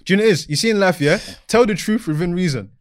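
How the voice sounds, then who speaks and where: A man talks football in casual, slang-heavy banter. His voice sits a little high and races along at a very fast clip. He laughs often between points. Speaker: podcast co-host.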